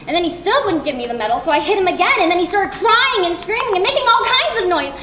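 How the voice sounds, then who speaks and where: A woman's voice: very high-pitched, animated, measured pace. A young woman playing a defiant, scheming little girl who wheedles her mother, in a stage monologue. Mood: frantic, pleading. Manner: childish, wheedling. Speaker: student actor. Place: theatre workshop performance space. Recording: echoey room from far off, hissy noise.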